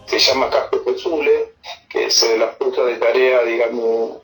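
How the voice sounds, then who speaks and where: A middle-aged Argentine man speaks over a telephone with music in the background. He is being interviewed and recounts personal memories informally. His delivery is halting, broken by pauses and repeated words, at an ordinary male pitch. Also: fairly even intonation. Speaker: army senior non-commissioned officer being interviewed. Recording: phone call interview with music.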